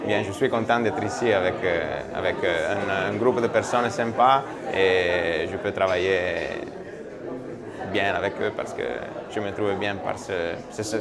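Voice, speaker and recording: A man, hesitantly searching for words as he answers in an interview. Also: on the low side. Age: 20s. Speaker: student intern. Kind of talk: spontaneous interview. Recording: crowd chatter behind.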